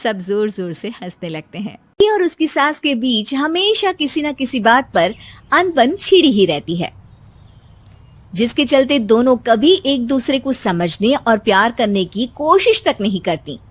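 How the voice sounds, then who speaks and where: A woman's voice: fairly high pitch, very animated, measured pace. A woman narrating a scripted story.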